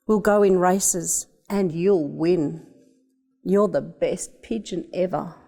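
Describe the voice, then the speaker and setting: A woman's voice: on the low side, slow pace, vocal fry, dark tone, in a large hall, full character voice. An Australian woman voicing a heartbroken, pleading young boy in a children's storybook. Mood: sad, hopeful. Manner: earnest, pleading.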